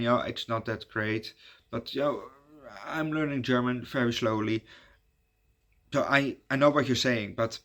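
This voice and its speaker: A Dutch man, native Dutch speaker, hosting his channel in halting casual chat full of fillers and restarts. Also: mid-range voice, a bit bright. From Netherlands. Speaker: YouTube reviewer giving shout-outs.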